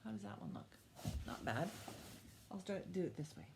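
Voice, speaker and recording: A woman's voice: on the low side, big pitch swings, moderate pace, creaky, noisy background. A woman hosting a children's storytime, reading and teaching in simple, encouraging, repeated phrases for young listeners. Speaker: children's librarian storytime host. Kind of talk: children's storytime with read-aloud and instruction.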